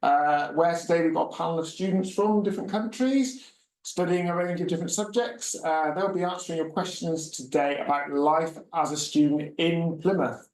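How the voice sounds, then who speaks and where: A man's voice: on the high side, normal speed, a little mellow. A man hosting a university webinar panel, friendly and chatty with many filler sounds. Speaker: host, university regional manager. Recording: echoey room, mic at a distance.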